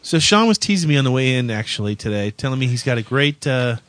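A man talking as a show co-host, hesitant and stammering, with a dry sense of humor. His pitch moves around a lot as he talks. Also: big pitch swings, quick pace, a bit bright, slight background noise. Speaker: podcast co-host. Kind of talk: casual chat.

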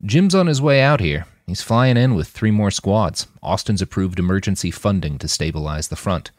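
A man's voice: low voice, expressive, normal speed, darkish tone, no room sound, clean studio sound. A man voicing a friendly, plain-spoken army mechanic in an audiobook, casual and frank. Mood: matter-of-fact, hopeful.

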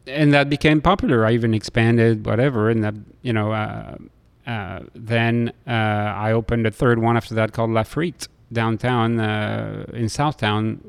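A middle-aged man from France with a French accent, telling stories in an interview in a halting way, often hesitating and repeating words. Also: fairly low pitch, creaky, smooth. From Lille, France. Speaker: restaurateur being interviewed. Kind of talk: conversational interview storytelling.

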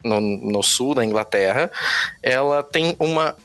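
A man from Brazil co-hosting a casual podcast, telling a news story in a joking, relaxed way. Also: mid-range voice, measured pace. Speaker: podcast co-host. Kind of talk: casual chat.

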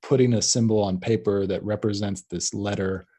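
Low-ish young guy hosting a podcast, wanders off on tangents, lots of restarts. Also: fairly even intonation, moderate pace, dark tone. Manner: rambling, many restarts and tangents. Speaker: podcast host. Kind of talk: casual conversational interview.

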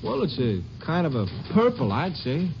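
An eager, high-voiced print worker. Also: male voice, wildly swooping pitch, moderate pace, soft background music. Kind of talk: 1950s radio drama acting. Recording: muffled old radio broadcast.

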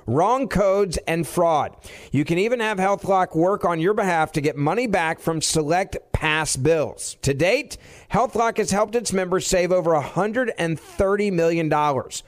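A man narrating a scripted advertisement as an announcer. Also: a little high.

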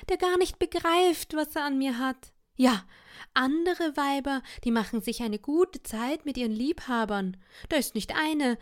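A woman voicing a poor young wife who scolds and laments in a high, shrill voice, read as part of an audiobook. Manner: shrill, indignant, self-pitying laments. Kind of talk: audiobook reading of a classic tale.

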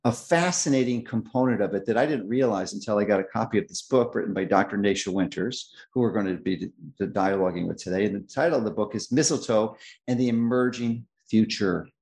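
A middle-aged man hosting a health interview, speaking emphatically and confidently, with restarts and slowed stressed phrases. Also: on the low side, a little mellow. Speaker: host. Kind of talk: interview host, long introduction.

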